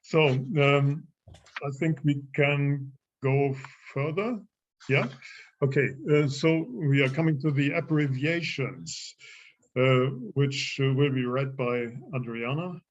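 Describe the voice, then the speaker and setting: A man teaching an informal online language class in a halting, hesitant way over a muffled call. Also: medium pitch, fairly even intonation. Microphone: video call.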